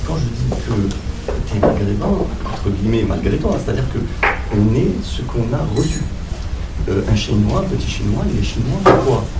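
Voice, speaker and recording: A man from Algeria with a somewhat low voice argues his case step by step as a debate guest. The sound is roomy and distant, with loud background noise. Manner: argumentative, explains step by step. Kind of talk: spontaneous debate.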